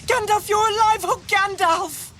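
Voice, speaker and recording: An actor in a radio drama playing a young, eager, naive hobbit with an excited, questioning manner. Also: male voice, very high voice, measured pace, a little hiss or hum, full character voice. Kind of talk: 1980s radio drama acting.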